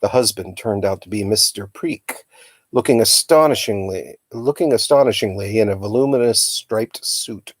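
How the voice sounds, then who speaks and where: A man reading a children's novel aloud as a storyteller, with a dramatic, expressive delivery. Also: on the low side, moderate pace, no room sound. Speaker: storytime reader.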